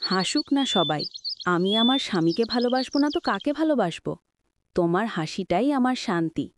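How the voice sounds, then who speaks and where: A woman acting a devoted, gentle but firm young village wife in a scripted cartoon drama, speaking tenderly and earnestly. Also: medium pitch, animated, brisk, close to an everyday voice. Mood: affectionate.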